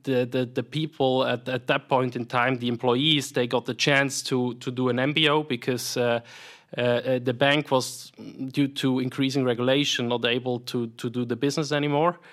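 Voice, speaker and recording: A man in his thirties with a Swiss accent, answering interview questions about his career in a halting way, full of fillers and restarts. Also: medium pitch, level tone, a bit bright. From Switzerland. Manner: hesitant, many fillers and repeated words. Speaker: private equity investment professional, guest.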